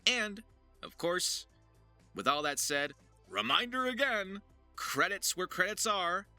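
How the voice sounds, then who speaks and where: A man's voice: high-pitched, big pitch swings, slow, sharp tone, faint music behind. A man hosting an informal voice-acting tutorial, talking straight to viewers like an earnest coach. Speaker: voice actor and video host.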